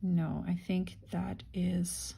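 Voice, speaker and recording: A woman's voice: fairly low pitch, flat delivery, drawn-out words, gravelly, dark tone, breathy edge. A woman hosting a casual craft-along, unhurriedly thinking aloud and murmuring to herself as she works. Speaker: craft tutorial host.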